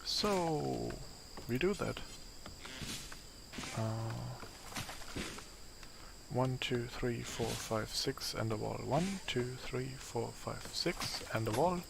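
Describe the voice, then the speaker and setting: A man with a raspy, tired voice giving casual gameplay commentary, thinking aloud as he plays. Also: mid-range voice, animated, noisy background. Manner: slow, thinking aloud while playing. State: voice strained from a long day of talking.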